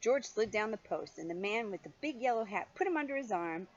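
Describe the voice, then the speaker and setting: Older woman, grandmotherly storybook reader, lively and expressive pitch, slightly muffled home recording. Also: fairly quick. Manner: expressive, gently questioning the young listener. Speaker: grandmother reading a storybook to a child. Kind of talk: animated children's story reading with chatty asides.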